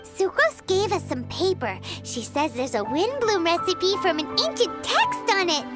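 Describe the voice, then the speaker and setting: A tiny, excitable fairy companion chatters in a squeaky, childlike voice, her pitch bouncing wildly up and down. She is eager and talkative, and speaks of herself in the third person. Loud game music plays beneath her. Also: unhurried, exaggerated voice. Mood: chatty. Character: small floating female fairy companion, excitable. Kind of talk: video game dialogue.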